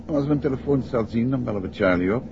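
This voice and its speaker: An actor playing a composed, courteous married detective in a 1960s radio drama, speaking with a Dutch accent. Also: male voice, medium pitch, fast talker, vocal fry, close to an everyday voice. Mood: calm, decisive. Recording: muffled 1960s radio recording, faint noise.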